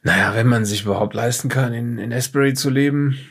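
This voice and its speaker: A man playing an evasive witness in an improvised audio drama, talking casually and haltingly, a little defensive. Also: mid-range voice, husky. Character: evasive male witness recounting a mysterious investigation.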